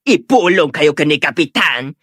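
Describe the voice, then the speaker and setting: A woman's voice: deep voice, lively intonation, normal speed, fairly bright tone, dry recording, clean studio sound. A woman playing an exasperated village peacemaker who admonishes people emphatically, in a comedic audio drama. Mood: scolding. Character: scolding village woman, exasperated peacemaker among quarrelling elders. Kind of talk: comedic Ilocano radio-style drama acting.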